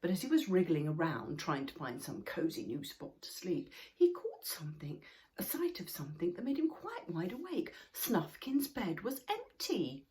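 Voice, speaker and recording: A woman narrating a children's story expressively and deliberately, sometimes dropping into a hush. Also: lowish voice, low-quality recording. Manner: expressive, deliberate, with hushed passages.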